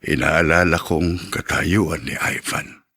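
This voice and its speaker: A man's voice: a bass voice, fairly even intonation, takes it slowly, sharp tone, slightly rough, full character voice. A man playing an affectionate, ailing older father in a Filipino radio drama, with a Filipino accent. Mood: wistful, heavy-hearted.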